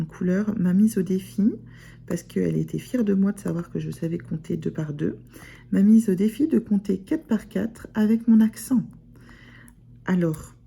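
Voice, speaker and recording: A woman's voice: lowish voice, gravelly, dark tone, a little hiss or hum. A woman with a northern French accent chatting casually in a vlog, rambling with many fillers and restarts. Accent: Picard (Ch'ti), northern France. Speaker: sewing vlogger. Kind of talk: casual chat to camera.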